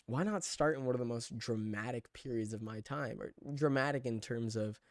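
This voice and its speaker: A man's voice: mid-range voice, moderate pace, no room sound, studio quality. A twenty-year-old man giving a casual, rambling vlog monologue, loose, digressive and self-deprecating as he talks through his screen. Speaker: vlogger documenting a personal challenge.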